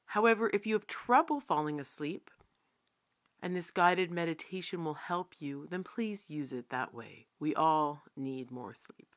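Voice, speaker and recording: A woman leads a guided body scan meditation in a slightly low voice. Her delivery is very slow and calm, with long pauses between phrases. She instructs gently, as a teacher would, never hurrying the listener.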